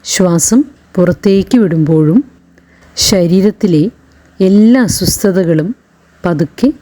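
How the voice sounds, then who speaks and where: Slow, mellow woman guiding a meditation. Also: on the low side, rough recording. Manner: gentle, soothing, short phrases with long pauses. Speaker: meditation guide. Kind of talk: guided meditation narration. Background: background music.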